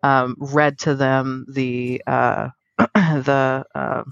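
A middle-aged woman hosts a casual podcast conversation with a creaky edge to her voice. She speaks reflectively, in a close, dry recording that sounds a little muffled. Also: rumbling low, level tone, extremely slow, dry recording. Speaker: podcast host.

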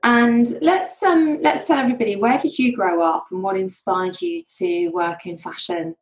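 Woman, interview host, chatty, friendly, mid pitch, echoey room. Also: moderate pace. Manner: chatty and friendly, laughs easily. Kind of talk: conversational interview.

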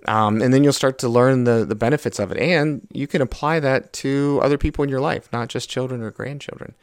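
A middle-aged man speaks thoughtfully in a casual conversation, often pausing on fillers before he makes his point. His mid-pitched voice sounds dry and close, with no room echo. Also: smooth. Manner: thoughtful, hesitant, many fillers. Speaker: guest, the host's father.

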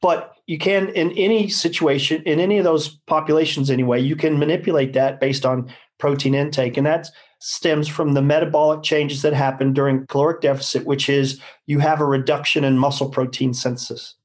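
Higher-pitched man explaining science. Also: fairly even intonation, fairly quick. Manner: rambling, self-correcting, explanatory. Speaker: nutrition scientist. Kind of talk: conversational expert discussion.

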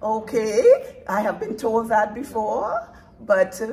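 A woman's voice: fairly high pitch, sing-song, slow, creaky, warm tone, distant mic, poor audio. A woman from Trinidad hosting a live-streamed interview at a music event, affirming her guests with many yeses and laughing often.

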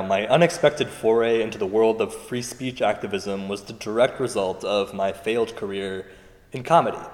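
An actor playing an earnest comedian turned activist in a radio play, speaking in a measured way in a reverberant space. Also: male voice, medium pitch, close to an everyday voice. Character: earnest, aggrieved male comedian turned free speech activist. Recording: long hall reverb.

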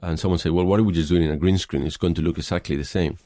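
Man, Spanish accent, middle-aged, deep voice, dry close sound, hesitant interview answers. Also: steady tone, very fast, warm tone, no echo. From Spain. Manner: thoughtful, hesitant, many fillers. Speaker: film director.

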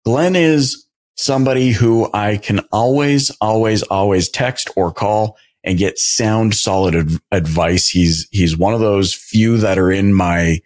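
A man with a fairly low voice hosting a business podcast. He is upbeat and chatty, and his pitch jumps up brightly when he gets enthusiastic. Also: unhurried. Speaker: podcast host. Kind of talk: casual interview chat.

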